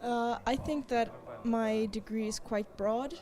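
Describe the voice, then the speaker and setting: Young woman student talking about her studies, slightly high voice, pauses a lot to think. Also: fairly even intonation, darkish tone. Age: young adult. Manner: thoughtful, with frequent pauses and fillers. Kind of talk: interview answers.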